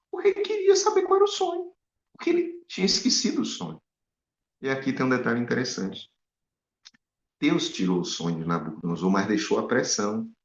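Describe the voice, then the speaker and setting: A man preaching a Bible study sermon, animated and full of rhetorical questions to his listeners. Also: lowish voice. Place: in Spain. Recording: echoey, dull lo-fi room sound.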